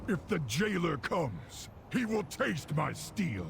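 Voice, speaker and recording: Gravelly, proud orc warrior. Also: male voice, a little high, very animated, takes it slowly, music in the background, heavily acted. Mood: gruff, appraising. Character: gruff noble orc warrior, mature male. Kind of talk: video game cinematic acting. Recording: noisy game audio with ambience behind.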